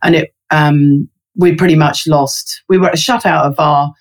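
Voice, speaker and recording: A woman's voice: deep voice, steady tone, measured pace. Chatty woman with lots of ums. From London, England. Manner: thoughtful, many fillers. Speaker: interview guest, resilience researcher. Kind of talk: casual interview talk.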